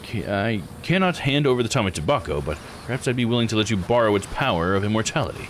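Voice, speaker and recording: Man voicing a low, swooping, theatrical adventurer. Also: expressive, slight background noise, close to an everyday voice. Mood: negotiating. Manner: measured, musing, grandly polite. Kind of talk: visual novel character read-aloud.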